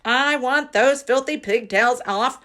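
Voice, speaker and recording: A woman voicing a huge, bullying headmistress in a storybook, who barks and shouts contemptuous commands. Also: high-pitched, slow pace, voice pushed up. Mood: furious, contemptuous.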